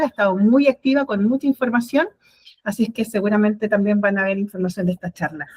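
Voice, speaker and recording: A woman hosting an online talk on a video call, thanking the guest and audience in a loose, friendly wrap-up. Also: mid-range voice. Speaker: webinar host, moderator.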